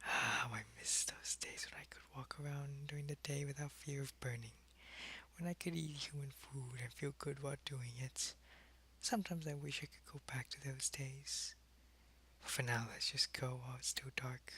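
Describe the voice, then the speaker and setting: A man playing a wistful, friendly, centuries-old vampire in an intimate, soft-spoken ASMR roleplay, talking casually and often slipping toward a whisper. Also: mid-range voice, fairly even intonation, normal speed, slightly rough. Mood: hushed, wistful.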